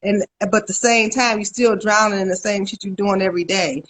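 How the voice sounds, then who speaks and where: A woman's voice: medium pitch, fairly even intonation, brisk, a little hiss or hum, poor audio. A woman in her fifties, a therapist, talking bluntly in casual podcast chat with profane comic outbursts. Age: 50s. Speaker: podcast co-host; therapist and CPR instructor.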